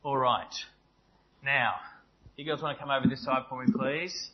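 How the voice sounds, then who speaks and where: A man leading a church service in a relaxed, chatty way, full of ums. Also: mid-range voice, normal speed, noisy background. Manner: relaxed, chatty, filler-heavy. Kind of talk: informal church address, hosting guests. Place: church sanctuary. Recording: dull lo-fi church recording.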